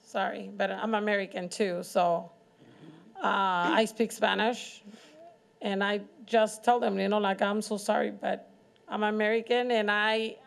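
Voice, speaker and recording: Slow, gravelly woman with a Mexican accent. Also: mid-range voice, flat delivery. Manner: halting, searching for words. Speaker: community panelist, business owner. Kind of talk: personal testimony at a community forum.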